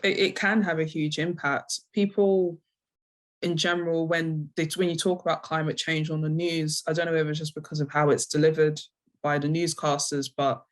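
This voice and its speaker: A woman's voice: sits low, little pitch movement, moderate pace. A woman from London with a London accent, answering interview questions in a hesitant, reflective way and often rewording herself. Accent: London English. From London, England. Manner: hesitant, reflective, self-correcting. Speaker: interviewed guest, comic book writer.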